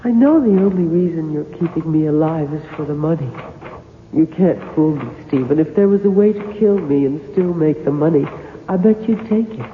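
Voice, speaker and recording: An actress playing a clinging, lovelorn imaginary heroine of a novel, plaintive, coaxing and teasing, in a 1970s radio drama. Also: female voice, low voice, normal speed, natural voice. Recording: reverberant, narrow vintage radio, faint noise.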